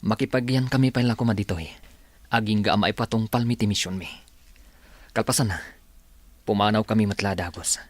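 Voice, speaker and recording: A man's voice: lowish voice, fairly quick, long pauses, no room sound, natural voice. A man playing an earnest, stubborn rebel fighter in an Ilocano radio drama. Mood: humble, firm.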